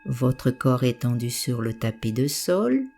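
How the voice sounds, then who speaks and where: A woman with a very low, soft-edged mellow voice leads a guided meditation. She gives soothing instructions at a very slow pace, with a gentle melodic rise and fall in her phrasing. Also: very animated, slight background noise.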